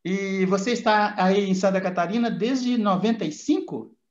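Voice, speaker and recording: A man's voice: high voice, normal speed. A man with a Brazilian accent hosting a casual, chatty interview. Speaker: interviewer, channel host. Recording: roomy, dull lo-fi audio.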